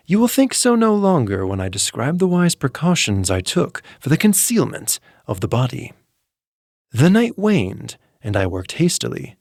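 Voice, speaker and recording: A man narrating a classic horror tale, moving between calm menace and frantic intensity. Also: medium pitch, gravelly, no echo, studio quality.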